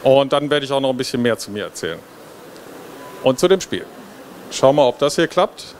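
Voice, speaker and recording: A man's voice: mid-range voice, animated, moderate pace, a little mellow. A middle-aged man giving an informal, explanatory stage presentation into a handheld microphone. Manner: explanatory, conversational. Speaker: game developer presenting. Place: stage at a busy trade fair hall. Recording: noisy hall, filmed from audience.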